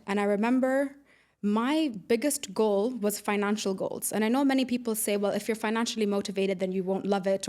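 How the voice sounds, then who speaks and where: Podcast guest: woman from the UAE, mid-pitched, informal conversational explainer and storyteller. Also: darkish tone. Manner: informal, explains with everyday analogies. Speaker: podcast guest, air traffic controller.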